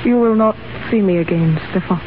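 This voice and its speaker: A woman's voice: medium pitch, fairly quick, close to an everyday voice. An actress playing a dutiful, lovelorn Russian revolutionary woman in a 1930s radio drama. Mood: anguished, tender. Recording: scratchy, narrow-band 1930s radio broadcast.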